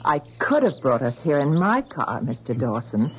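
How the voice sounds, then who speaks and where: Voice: medium pitch, very animated, moderate pace, lightly acted. An actor playing a polite, eager-to-please real estate agent in a 1970s radio drama. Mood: obliging. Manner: polite, obliging. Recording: dull, noisy lo-fi radio tape.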